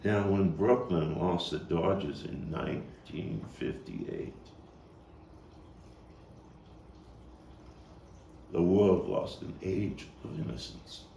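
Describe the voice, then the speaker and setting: A man performing a nostalgic Brooklyn man looking back and searching his soul in a stage monologue. The voice is very low and unhurried, swinging widely between hushed and emphatic, with halting repeats. It is heard from a distance in an echoey room. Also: lively intonation, vocal fry, full character voice. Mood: earnest, mournful. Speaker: playwright and actor. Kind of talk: dramatic stage monologue at a table read. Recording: distant mic, roomy echo, faint noise.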